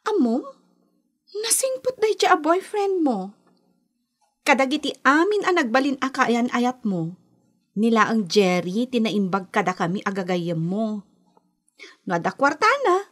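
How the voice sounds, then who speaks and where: A woman's voice: fairly high pitch, sing-song, unhurried, pauses often, a bit bright, heavily acted. A woman acting a scheming young woman in a radio drama, with a Filipino accent. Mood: coy, curious. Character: scheming young woman secretly seeing her best friend's boyfriend.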